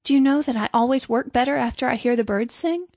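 A woman's voice: sits high, fast, a little mellow, dry recording, voice pushed up. A woman voicing a naive, good-hearted little man in a storybook, earnest, apologetic and eager to please. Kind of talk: storybook character voice.

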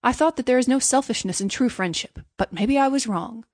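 A woman's voice: on the high side, fairly quick, no room sound, close to an everyday voice. Woman voicing a pompous, preachy storybook miller man, very dry sound. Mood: guilt-tripping. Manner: preachy, self-satisfied, emphatic. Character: pompous, self-righteous, greedy male miller, falsely generous. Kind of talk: storybook character voice.

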